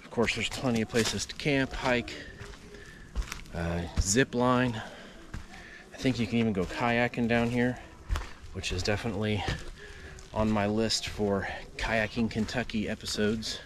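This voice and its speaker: A man's voice: fairly low pitch, unhurried, noisy background. A man narrating a travel vlog in a casual, easygoing, conversational way.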